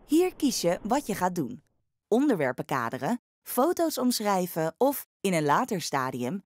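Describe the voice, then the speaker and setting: A woman reading a scripted instructional voice-over, explaining each step clearly as a tutorial narrator. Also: medium pitch, a little mellow.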